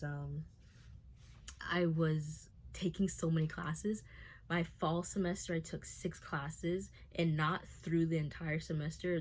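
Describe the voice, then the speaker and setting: A young woman of about twenty, with a low-pitched voice, gives a candid update to her camera from home. She sounds nervous and rambles, falling back on fillers and restarting her sentences as she speaks openly about her life. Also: slight background noise. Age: 20s. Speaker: vlogger.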